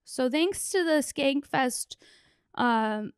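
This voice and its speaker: A young woman with a hoarse, tired, gravelly voice, riffing casually and bluntly as a solo comedy podcast host, close on a handheld microphone. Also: high voice, animated, very slow, no room sound. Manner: blunt, sweary, joking. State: hoarse, worn-out voice after a festival; exhausted. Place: small plain room indoors. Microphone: handheld microphone held close.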